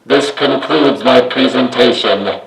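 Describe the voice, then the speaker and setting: A man hosting a do-it-yourself tutorial, walking through the steps in a measured, matter-of-fact way. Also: mid-range voice, roomy sound, faint music behind, rough recording. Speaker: DIY video host. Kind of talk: step-by-step tutorial narration.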